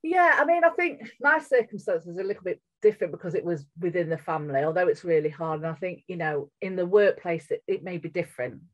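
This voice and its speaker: A woman's voice: fairly low pitch, animated, fast talker, hardly any pauses. A middle-aged woman with a strong northern English accent, speaking earnestly and candidly as a guest in a podcast interview. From England. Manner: earnest, candid, with frequent restarts. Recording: muffled, lo-fi audio.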